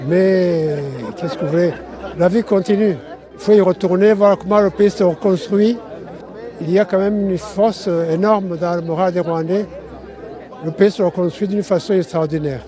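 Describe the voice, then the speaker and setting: A man speaking in a measured, reflective way in a street interview at a march. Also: high-pitched, fairly even intonation, dark tone, some chatter behind. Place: outdoors at a city street march.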